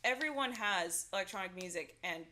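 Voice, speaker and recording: A woman's voice: mid-range voice, expressive, brisk, sharp tone. A woman from Orange County with a Southern Californian accent, chatting as a guest and filling her speech with "like". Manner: chatty, lots of filler "like". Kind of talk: casual chat.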